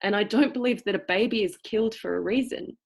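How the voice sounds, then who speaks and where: A woman's voice: mid-range voice, vocal fry, husky. Woman with a cultivated Australian accent. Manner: thoughtful, searching for words, with pauses. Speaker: acting teacher. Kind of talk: explanatory seminar talk. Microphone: video call.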